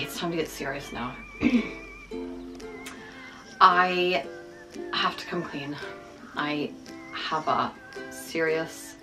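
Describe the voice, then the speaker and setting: A woman's voice: fairly low pitch, low-quality recording. A middle-aged woman, originally from the United States, with an American accent touched by Scottish, chatting to camera in a self-deprecating way, with a lot of ums. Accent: American, with some Scottish. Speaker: vlogger. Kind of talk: casual talk to camera.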